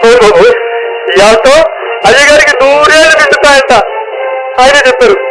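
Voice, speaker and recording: High-voiced, devoted household servant. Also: male voice, normal speed, loud music behind the voice, rough recording. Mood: humble, earnest. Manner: coaxing, deferential, rustic colloquial talk. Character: devoted, simple male servant, coaxing and fussing. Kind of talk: radio play acting.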